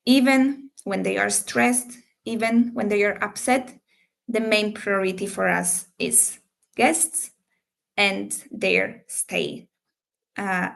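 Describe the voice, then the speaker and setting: A woman recruiter hosting a live question-and-answer session, explaining job details in an unhurried way with frequent fillers and slightly non-native phrasing. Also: medium pitch, vocal fry, fairly bright tone.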